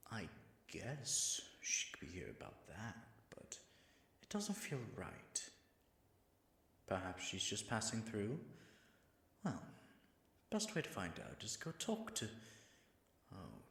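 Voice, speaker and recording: Man playing a low, gravelly, wry dragon. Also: pushed and bright, roomy sound, heavily acted. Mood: puzzled, wary, then flustered. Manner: muttering to himself in asides, dry, sardonic. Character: all-powerful dragon in disguise, wry, self-conscious, jealous. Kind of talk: scripted audio roleplay monologue.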